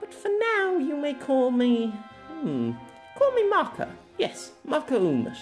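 Man voicing a playful young swordswoman, pitched up. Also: huge pitch swings, unhurried, soft background music, full character voice. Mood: bright, determined. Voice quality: soft-spoken. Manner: commanding, archaic flourishes. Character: playful, confident young swordswoman lady, theatrically grand.

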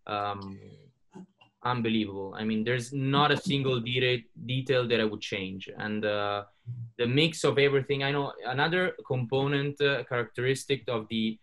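A man's voice: medium pitch, moderate pace, a bit bright. A man on a video call, speaking hesitantly with many fillers but enthusiastically about classic cars on a panel.

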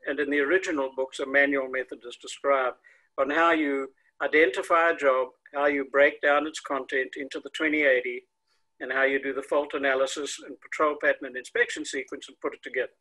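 A man's voice: a little high, steady tone, brisk, bright, forceful tone, rough recording. An older man with a South African accent, explaining things conversationally as a consultant on a video call. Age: older, 60s or 70s. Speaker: consultant and coach, interview guest. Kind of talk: conversational explaining in an online interview. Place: home room with windows, indoors. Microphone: earbuds on a video call.

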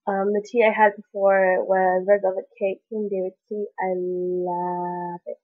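A woman's voice: medium pitch, little pitch movement, unhurried, soft background music. Young woman, congested, chatty and rambling, bedroom webcam, dull muffled audio. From Canada. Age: young adult. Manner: chatty, rambling, many "like" fillers. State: congested, stuffy nose. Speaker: vlogger. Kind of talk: casual chat to camera. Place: small bedroom indoors. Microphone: webcam at desk distance.

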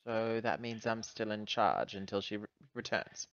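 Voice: mid-range voice, moderate pace, no room sound, voice pushed down, natural voice. Player doing a sarcastic woman space captain, grudging and informal, tabletop game, kinda muffled dry mic.

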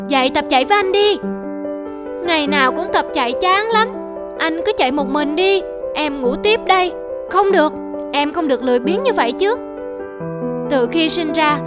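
A woman voicing a young male antelope in an animated fable, with expressive storybook delivery. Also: high-pitched. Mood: earnest urging. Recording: loud music behind the voice.

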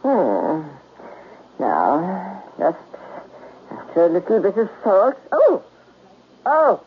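An actress playing a genteel, food-loving older aunt with a theatrical, gushing manner in a 1970s radio drama. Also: female voice, mid-range voice, huge pitch swings, extremely slow, heavily acted. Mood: savoring. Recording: tinny, noisy vintage radio recording.

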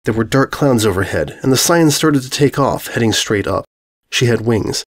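A man's voice: medium pitch, lively intonation, normal speed. A man narrating comic fantasy fiction as a scripted dramatic reading.

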